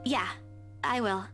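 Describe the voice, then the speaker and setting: An actress playing a spirited, easily flustered young woman in a visual novel game. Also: mid-range voice. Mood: subdued, grateful. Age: young adult.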